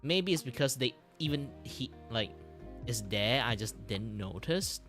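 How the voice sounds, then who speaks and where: A man who speaks English as a second language, chatting casually on a livestream in a halting, repetitive way. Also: medium pitch, faint music behind. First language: not English. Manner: halting, repetitive, animated reactions. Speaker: streamer and animator.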